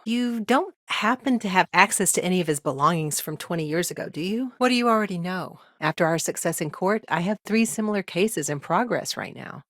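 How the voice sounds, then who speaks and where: A woman voicing a weary, earnest lawyer in a video game, speaking in measured, articulate, formal phrases with wry asides. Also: fairly low pitch, dry recording, studio quality. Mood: wry, businesslike. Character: exhausted, dry-witted woman lawyer, earnest and principled. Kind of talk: naturalistic video game dialogue acting.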